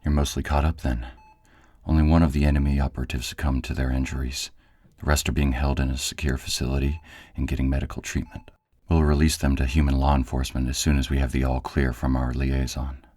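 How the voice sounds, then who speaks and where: Man playing a very deep-voiced, husky commander. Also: little pitch movement, fast talker, a little mellow. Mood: briefing. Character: steady, authoritative male director planning a raid, dryly caring. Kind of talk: audio roleplay drama acting.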